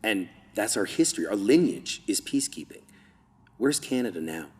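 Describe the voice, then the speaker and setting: A man's voice: fairly low pitch, very animated, moderate pace, darkish tone. A man hosting an interview, asking leading questions and giving short affirmations. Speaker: host. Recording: long reverb hall.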